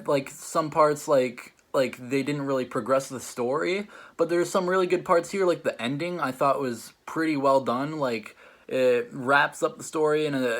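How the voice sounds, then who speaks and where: A man hosting and judging a challenge, chatting casually in a rambling, hesitant way with lots of "like". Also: medium pitch. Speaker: host and judge of a challenge show. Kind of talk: casual chat, reviewing stories.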